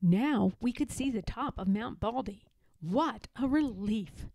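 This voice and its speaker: A woman narrates a children's picture book with lots of expression. Her voice is on the high side and swoops widely, while her pace stays slow, with dramatic weight on key words. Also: animated, vocal fry, mellow, no echo. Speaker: storybook reader.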